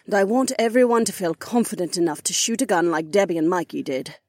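A woman's voice: lowish voice, fast talker, darkish tone, no echo, studio quality, close to an everyday voice. A woman playing a confident, practical shooting instructor with a direct, purposeful manner in an audiobook.

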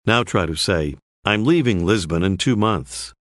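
Guy narrating a language lesson, low voice, really slow and lively, dry studio sound. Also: no echo. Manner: slow, clear, prompting the learner. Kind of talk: scripted instructional narration.

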